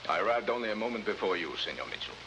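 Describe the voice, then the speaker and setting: An actor playing a courteous but guarded police lieutenant in a 1950s TV drama, formal and clipped. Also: male voice, fairly low pitch, lively intonation, racing. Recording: noisy, hissy 1950s TV soundtrack.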